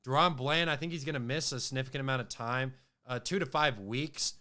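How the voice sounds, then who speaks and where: A man's voice: mid-range voice, a little fast, bright, forceful tone. A man hosting a casual sports podcast, talking loosely with many fillers and restarts, his voice a little off from a cold. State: has a cold, voice a bit off. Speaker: podcast host.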